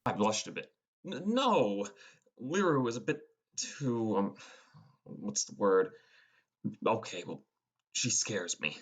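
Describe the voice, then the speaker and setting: A man's voice: on the low side, very animated, takes it slowly, long pauses, heavily acted. A man narrating a scripted first-person fantasy audiobook as a teenage boy gamer. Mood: flustered.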